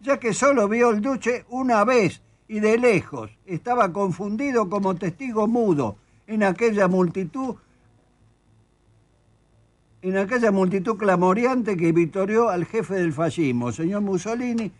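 An older man with an Argentine accent speaks as an interviewed author. His voice sits high and swings widely as he presses his points with indignation. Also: expressive, measured pace. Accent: Argentine Spanish. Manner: emphatic, indignant, reads quotes aloud. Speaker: author guest. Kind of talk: studio interview conversation.

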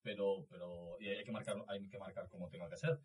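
Male podcast host, lowish voice, informal moderating style, other people audible around him. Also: fairly even intonation, brisk, crowd noise. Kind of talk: casual panel moderation.

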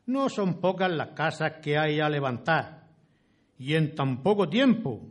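Man playing a slow-talking rustic settler, higher-pitched. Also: animated. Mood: curious, deferential. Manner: rustic, old-fashioned turns of phrase. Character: respectful, inquisitive rustic male settler seeking land. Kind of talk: amateur historical radio drama acting.

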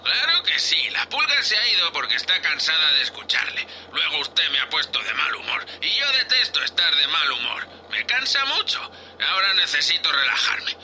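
A whiny, drunk spaceship crewman played by a man in a Spanish sci-fi audio drama, with a Spain accent. His voice is highish and very bright, swinging around in pitch as he sulks and sneers at his captain. Faint ambient noise sits behind him. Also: big pitch swings, normal speed, faint music behind, exaggerated voice. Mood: whining, dismissive.

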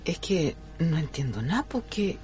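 A woman's voice: low voice, very animated, normal speed, darkish tone, faint music behind. An actress playing an affectionate middle-aged Chilean mother in a radio drama, speaking in a tender, homely way. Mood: puzzled, uneasy. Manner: tender, doting, diminutive-laden speech.

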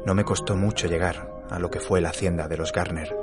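Low-pitched man acting as weary surveyor, grave measured narrator of a horror audio drama, music underneath. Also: steady tone, close to an everyday voice. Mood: grave, uneasy. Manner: measured, literary, sombre. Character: weary male surveyor narrating his eerie horror tale. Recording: music behind the voice.

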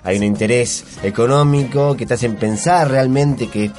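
A man's voice: mid-range voice, moderate pace, darkish tone, faint music behind. A man co-hosting a talk show, speaking in a casual, colloquial way and chiming in often to agree. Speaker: radio show co-host. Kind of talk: casual discussion.

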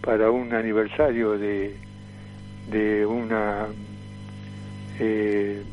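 An elderly man with a Rioplatense Argentine accent reminisces as a radio guest, rambling and halting with frequent fillers. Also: fairly low pitch, flat delivery, muffled recording, faint music behind. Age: 70s or older. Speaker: guest, veteran cartoonist, impressionist and tango singer.

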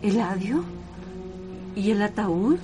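A woman's voice: medium pitch, animated, takes it slowly, music in the background. A woman playing a compassionate, distraught city lady in a melodramatic radio play, exclamatory, with a Chilean accent. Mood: alarmed. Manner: emotional, exclamatory. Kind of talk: melodramatic radio drama acting.

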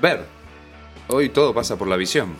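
A man's voice: medium pitch, animated, normal speed. A middle-aged man with an Argentine accent, reminiscing casually as a guest in a radio chat. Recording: music bed behind the voice.

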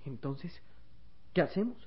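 A man playing an anxious, wry young boy companion in a vintage radio serial, with a husky, pleading voice. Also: a little high, big pitch swings, a little slow. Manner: worried, pleading, questioning. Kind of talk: vintage Spanish-language radio serial acting. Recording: dull, lo-fi old radio serial recording.

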